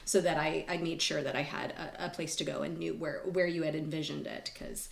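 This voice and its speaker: A woman, an actress on a panel, chatting casually and explaining herself in an animated way. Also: low-pitched, brisk, creaky. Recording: echoey room.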